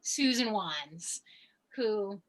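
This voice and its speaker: A woman chatting casually on a video call as host, with an effusive, excitable and gushing way of talking. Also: mid-range voice, very slow, poor audio. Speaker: host of a tarot gathering.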